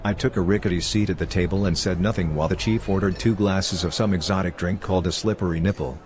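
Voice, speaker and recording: A man's voice: deep voice, steady tone, fast talker, a little mellow, slight background noise, natural voice. A man narrating a dramatized detective story in first person as a writer who documents a chief's cases, in a dry, wry manner.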